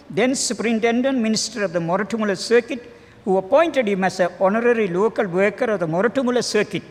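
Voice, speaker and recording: An older man with a Sri Lankan accent reading a formal tribute as a clergyman, measured and a little halting. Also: sits high. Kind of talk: formal read-aloud biographical tribute. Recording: long hall reverb.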